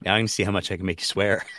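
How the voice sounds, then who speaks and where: A man co-hosting a political talk podcast, making wry, sarcastic points in quick asides. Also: deep voice, fairly even intonation, a bit bright, dry recording. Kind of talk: casual political chat.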